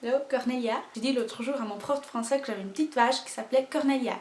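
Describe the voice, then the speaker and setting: Young woman, Portuguese accent, high voice, nervous self-mocking storyteller, casual home vlog, echoey room. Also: quick pace, darkish tone. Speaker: vlogger.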